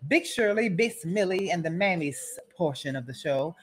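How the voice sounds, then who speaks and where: A woman's voice: fairly low pitch, expressive, moderate pace. Brash, mocking woman roasting casually. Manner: brash, mocking, rambling with repeated phrases. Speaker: host of an online political roast show. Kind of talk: casual, profane comedic roast commentary.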